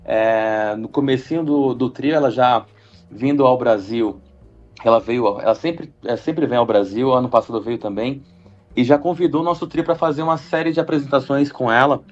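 A man, a double bassist, talking as a radio guest in a rambling, enthusiastic way with many fillers. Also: normal speed, highs cut off. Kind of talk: radio interview.

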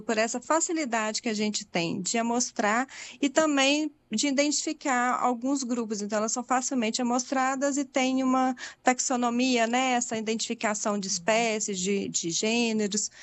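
High-pitched woman with Minas Gerais accent. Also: normal speed. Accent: Minas Gerais Portuguese. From Belo Horizonte, Brazil. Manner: patient, explains step by step, frequent tag questions. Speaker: butterfly researcher and project coordinator. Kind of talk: explanatory interview answers.

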